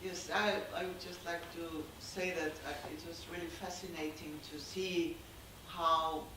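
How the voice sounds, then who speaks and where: A Finnish audience member comments in English with a Finnish accent, halting and repeating words. The voice is picked up from a distance. A strongly reverberant hall with clear background noise surrounds it. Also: medium pitch, level tone. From Finland. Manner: halting, many fillers and repeats. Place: cinema hall. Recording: echoey hall from far off with noise.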